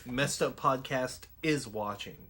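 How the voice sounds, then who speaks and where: A man's voice: medium pitch, measured pace, sharp tone, slight background noise. A man co-hosting a podcast, swearing constantly and laughing at a video. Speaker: podcast co-host. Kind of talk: profane reaction commentary.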